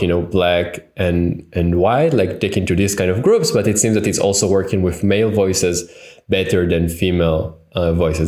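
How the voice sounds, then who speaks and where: A man's voice: low voice, a little mellow. A man in his thirties with an Israeli accent, teaching a conference tutorial over a webcam, thinking aloud with many fillers and restarts. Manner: thinking aloud with frequent fillers and self-corrections. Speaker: tutorial instructor. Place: home room, indoors. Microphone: webcam on a video call. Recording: roomy echo.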